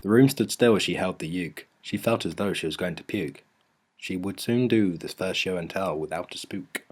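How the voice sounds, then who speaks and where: A man narrating a rhyming children's story in a measured, scripted storybook delivery. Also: deep voice. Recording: music bed under the voice. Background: music.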